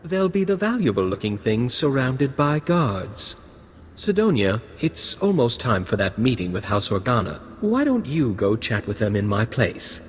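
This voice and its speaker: Droll, lively young fop of a lord. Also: male voice, mid-range voice, unhurried, a little hiss or hum. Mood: dry, flippant. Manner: arch, drawling, wry. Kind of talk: video game voice acting.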